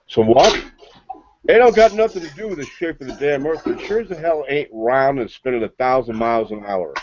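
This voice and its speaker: A man's voice: medium pitch, expressive, a little fast, highs cut off, noisy background. A man in a heated online voice chat, blustering, cursing and repeating himself. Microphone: computer speakers, no headset.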